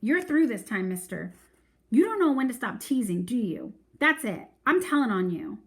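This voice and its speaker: A woman acts out a little girl from a storybook, high and demanding. She is bossy and exasperated with her older brother, laying into him dramatically like a miniature scolding mother. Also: sing-song, normal speed, breathy edge, heavily acted. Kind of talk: storybook read-aloud character voice.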